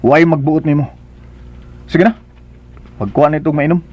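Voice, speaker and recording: A male actor performs a commanding, brooding boss for a radio play. His voice sits a little high for a man, and he speaks in curt, imperious, insistent phrases. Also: fairly even intonation, moderate pace, muffled recording, natural voice. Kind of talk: radio drama acting.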